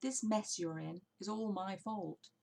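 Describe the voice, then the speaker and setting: A woman's voice: mid-range voice, a little slow, vocal fry, darkish tone. A woman voicing a sly, confident father fox who speaks with gallant courtesy in a children's storybook. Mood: teasing. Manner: assured, gallant.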